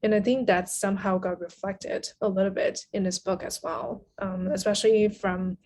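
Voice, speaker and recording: A woman's voice: mid-range voice, steady tone, normal speed, darkish tone, a little hiss or hum. A woman from Taiwan speaking as a podcast guest in a casual, conversational discussion of a book.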